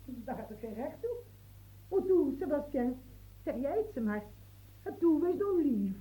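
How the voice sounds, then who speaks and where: An actress in a radio play voicing a coaxing, stammering working-class mistress. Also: female voice, sits high, very animated, measured pace, warm tone, low-quality recording. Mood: coy flustered.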